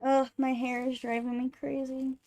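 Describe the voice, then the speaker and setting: Higher-voiced woman streamer chatting casually. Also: flat delivery, normal speed, darkish tone. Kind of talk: casual chat during game readthrough.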